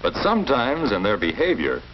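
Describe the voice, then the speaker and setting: Casting: middle-aged 1940s school principal, avuncular and measured, lively inflection, noisy vintage film sound. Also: male voice, medium pitch, highs cut off. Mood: calm, earnest. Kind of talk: 1940s educational film acting.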